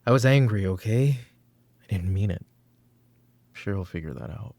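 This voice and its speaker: Man playing a gravelly, low-voiced mafia boss father. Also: animated, moderate pace. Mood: angry, commanding. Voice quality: gravelly, breathy, husky. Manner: commanding, curt, slipping into hushed muttering. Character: weary, short-tempered mafia boss father, possessive and proud. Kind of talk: roleplay ASMR audio drama acting. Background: background music.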